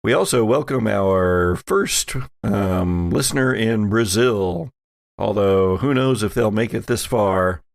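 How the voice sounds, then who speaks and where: A man's voice: on the low side, takes it slowly, creaky, no echo, studio quality. A man hosting a podcast, chatting casually and narrating a tabletop game as game master.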